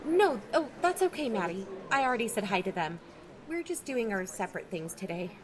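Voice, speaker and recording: A woman's voice: medium pitch, a little fast, a crowd in the background, close to an everyday voice. A woman playing a sociable, slightly flustered aunt in an audio drama, chatty and conversational. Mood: breezy. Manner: animated, chatty. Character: sociable, flustered aunt covertly looking around.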